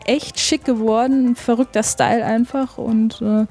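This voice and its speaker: A woman's voice: a little high, fairly even intonation, unhurried, mellow. A woman hosting a casual radio show about game music, chatty, with many filler sounds, while she has a cold.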